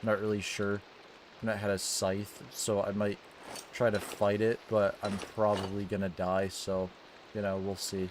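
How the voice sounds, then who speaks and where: A young man with a light Maine accent talks in an easygoing, rambling way, his voice sitting low. He trails off often with fillers as he chats over his game, and a faint hiss sits under the audio. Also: completely flat delivery, normal speed. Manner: laid-back, loose, trailing off with fillers. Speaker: gaming livestreamer. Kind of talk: casual chat while gaming.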